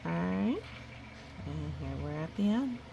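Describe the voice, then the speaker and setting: A woman reading a children's picture book aloud, gently, breaking off for musing, chatty asides to a young listener. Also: lowish voice, lively intonation, a little slow, creaky, highs cut off, slight background noise. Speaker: storybook reader.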